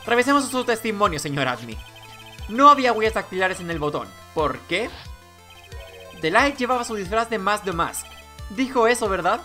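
Guy doing a flustered young lawyer, urgent, stammery, lively pitch, game voice, faint music. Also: normal speed, heavily acted. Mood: accusing. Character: earnest, flustered young male defence lawyer in a courtroom game.

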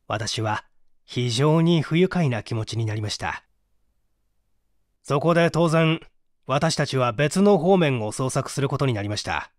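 A man delivers dramatic story narration in a fairly high male voice. His intonation is very lively, with measured theatrical phrasing. The sound is dry and close, free of room echo. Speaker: audiobook reader and small-theatre actor.

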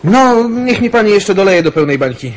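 An actor playing a chatty, teasing rural milk carter with a folksy manner in a radio drama. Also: male voice, sits high, sing-song, moderate pace, over music. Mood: folksy banter. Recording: noisy radio play recording.

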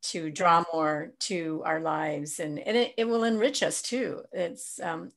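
A woman in her sixties hosting a video call interview, speaking thoughtfully and haltingly with many pauses and ums. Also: on the low side, vocal fry. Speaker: host. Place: home room with bookshelves. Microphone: video call webcam.

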